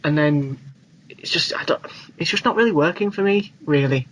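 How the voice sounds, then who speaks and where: A man's voice: fairly high pitch, moderate pace, a bit bright. A man co-hosting a podcast, chatting casually and with informal, opinionated enthusiasm about films. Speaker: podcast co-host. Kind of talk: casual film discussion. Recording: clearly noisy recording.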